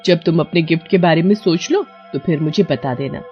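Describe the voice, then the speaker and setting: A woman voicing a caring, gentle young mother in a cartoon family story. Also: sits low, fairly quick, close to an everyday voice. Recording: dull lo-fi audio with music behind.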